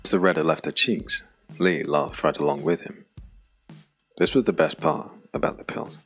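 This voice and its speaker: Very deep, gravelly male narrator. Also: moderate pace, fairly bright tone, faint music behind. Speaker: audiobook narrator. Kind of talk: scripted dark fantasy audiobook narration.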